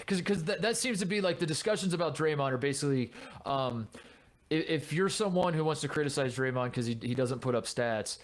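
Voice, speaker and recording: A man's voice: on the high side, lively intonation, fairly quick. A man co-hosting a sports podcast, talking casually and rambling with restarts and frequent swearing. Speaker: podcast co-host.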